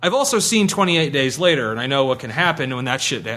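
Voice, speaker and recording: A man bantering casually as a podcast panelist, halting and prone to swearing. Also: mid-range voice, sing-song, pushed and bright. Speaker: podcast panelist and game player. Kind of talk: casual conversation. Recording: faint background noise.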